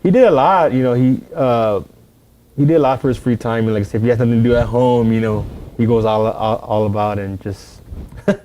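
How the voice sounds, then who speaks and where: A man's voice: mid-range voice, a little fast, warm tone, a little hiss or hum. A man from San Antonio, chatting as a guest on a podcast and rambling with many "you know" fillers. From San Antonio, Texas. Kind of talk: casual podcast chat.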